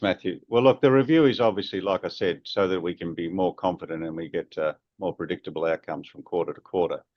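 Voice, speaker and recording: A male chief executive answers on an earnings call in a low-pitched voice. The sound is dry and slightly muffled, like band-limited conference audio. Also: no echo.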